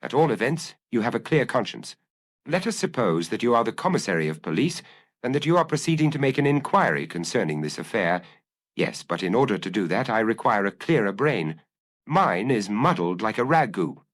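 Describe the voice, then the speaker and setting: A man voicing a cocky but rattled gentleman thief who talks to himself in a theatrical, self-mocking way in an audiobook. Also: fairly low pitch, sing-song, a little fast. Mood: agitated self-reasoning.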